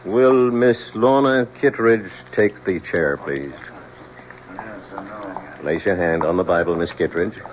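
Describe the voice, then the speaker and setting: Stern male coroner, low and deliberate, official phrasing, muffled vintage broadcast sound. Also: fairly even intonation. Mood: formal, official. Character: stern, formal male coroner presiding over an inquest. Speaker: actor in a radio drama. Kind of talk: old-time radio drama acting. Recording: muffled old radio recording, faint noise.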